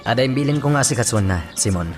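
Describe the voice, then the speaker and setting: A man's voice: medium pitch, expressive, fast talker, loud music behind the voice, lightly acted. A man with an Ilocano accent playing an earnest, dutiful policeman in a radio drama.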